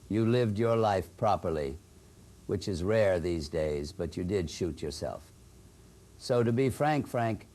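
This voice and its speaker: A man playing an older gentleman spirit in a horror audio drama, formal, cryptic and theatrically unhurried. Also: low-pitched. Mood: regretful, wry.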